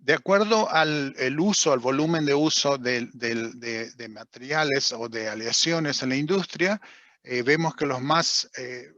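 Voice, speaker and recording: A man's voice: mid-range voice, a little slow, a bit bright, no echo, lo-fi sound. A man giving a technical seminar lecture over slides, speaking Spanish with an accent that is not Argentine and hesitating often with fillers and restarts. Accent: non-Argentine accent in Spanish. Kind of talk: technical webinar talk with slides.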